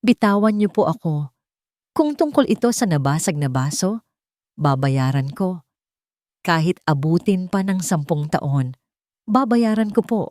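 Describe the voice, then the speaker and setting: A woman's voice: lowish voice, big pitch swings, measured pace, long pauses, a little mellow, no room sound, studio quality. A woman voicing a desperate young working woman, polite and pleading, in a romance story. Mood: frightened pleading.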